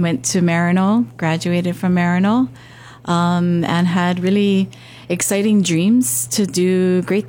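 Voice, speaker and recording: A woman's voice: lowish voice, a little mellow. A woman pastor in her fifties from Hawaii, telling her story candidly in a casual interview.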